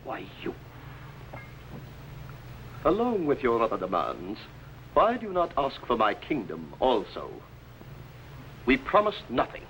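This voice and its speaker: Imperious, scheming medieval king, very animated. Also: medium pitch, a little slow, pauses often, exaggerated voice. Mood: haughty. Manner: imperious, pompous, commanding. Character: arrogant, tyrannical, scheming medieval English king. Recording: noisy 1950s television soundtrack.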